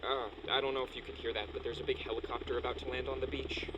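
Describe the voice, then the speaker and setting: A man's voice: medium pitch, little pitch movement, rapid-fire, background noise, close to an everyday voice. A male actor playing a lost, anxious boy in a family audio drama, earnest and questioning. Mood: alarmed. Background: prominent music.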